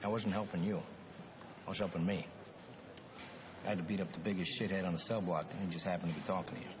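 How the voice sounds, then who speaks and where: An actor playing a tough, cocky prison inmate in a cartoon film, blunt and sardonic. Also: male voice, sits low, fairly even intonation, fast, noisy background, lightly acted. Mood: dry, dismissive. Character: tough, cocky, self-serving male prison inmate, wisecracking brawler. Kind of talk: animated film dialogue.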